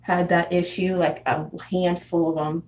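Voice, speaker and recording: A woman in her twenties from San Diego, chatting casually with viewers as a vlogger, laughing often and filling pauses with "um" and "like". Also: on the low side, flat delivery, darkish tone, slight background noise, rough recording. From San Diego, California. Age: 20s. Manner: chatty, frequent laughs and fillers. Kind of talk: casual live chat reading comments. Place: house in Arizona.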